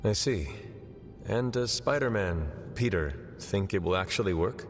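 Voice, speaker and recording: An actor playing a stern demon-blooded warrior hero in a video game, speaking in a formal, clipped manner. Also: male voice, fairly low pitch, lively intonation, a little slow. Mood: reserved, skeptical. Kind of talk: cinematic video game acting. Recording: long reverb, faint music behind.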